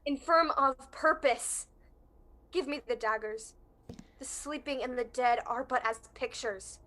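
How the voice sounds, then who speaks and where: Young woman acting scornful noblewoman, high forceful voice, commanding, student Shakespeare verse. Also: measured pace. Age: young adult. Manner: commanding, emphatic verse delivery. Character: steely, scornful noblewoman, commanding accomplice to murder. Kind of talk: amateur student Shakespeare performance.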